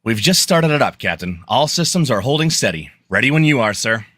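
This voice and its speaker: An easygoing male chief engineer, played by a man in a sci-fi radio play. His mid-pitched voice is bright and animated, with a practical, casual delivery. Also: a little fast, no room sound, studio quality. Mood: ready. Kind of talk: radio play live read.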